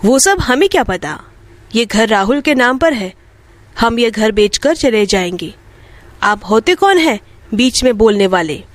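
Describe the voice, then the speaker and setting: A woman's voice: on the high side, animated, a little slow, dry recording, pitched below the performer's own voice. A woman voicing a spoiled, rude young city wife in a storybook tale, haughty and complaining. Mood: dismissive. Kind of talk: Hindi bedtime moral story dialogue.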